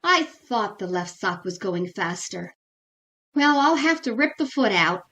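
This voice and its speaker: A woman's voice: mid-range voice, expressive, measured pace, bright, forceful tone. A woman playing a scatterbrained, easily flustered housewife in an old-time radio sitcom, with a fluttery comic delivery. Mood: cheerful, earnest.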